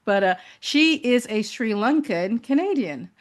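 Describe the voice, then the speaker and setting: A woman's voice: fairly high pitch, expressive, a little slow. A woman hosting an interview show, introducing her guest in a chatty way with many fillers and restarts. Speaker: talk show host.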